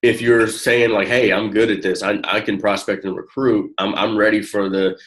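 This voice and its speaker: A man with a low-pitched voice gives one-on-one coaching in a casual, encouraging way. He talks in halting, informal phrases, circling back as he explains. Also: level tone, low-quality recording. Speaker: network marketing coach.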